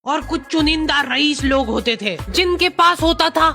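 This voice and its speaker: High, bright-voiced woman telling comic stories. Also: animated, unhurried. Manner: exaggerated, mock-exasperated. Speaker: storyteller. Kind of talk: comic scripted cartoon narration in casual slang. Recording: music behind the voice. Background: faint music.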